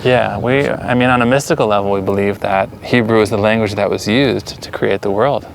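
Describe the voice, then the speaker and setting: An American man, a guest, calmly telling personal stories in an interview. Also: fairly low pitch, brisk, gravelly. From United States.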